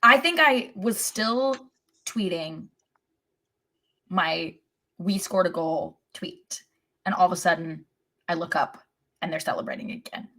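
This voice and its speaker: Bright-voiced woman talking soccer. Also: on the low side, expressive, a little slow, pauses often, poor audio. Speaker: soccer podcast co-host. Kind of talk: casual match recap chat.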